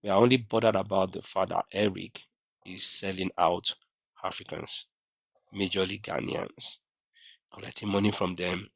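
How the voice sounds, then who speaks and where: A very deep male voice hosts a livestream, putting questions to a guest and reading out what viewers ask. The sound is dull and lo-fi, with a dry, close feel and no room around it. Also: little pitch movement, moderate pace, gravelly, dry recording. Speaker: livestream host. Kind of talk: livestream interview.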